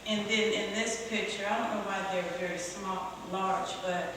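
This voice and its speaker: A woman's voice: mid-range voice, fairly even intonation, normal speed. Chatty woman artist in a reverberant gallery. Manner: conversational, chuckling asides, checks in with audience. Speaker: photographer giving an artist talk. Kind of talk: informal artist talk. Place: museum gallery event. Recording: echoey room, far from mic, background noise.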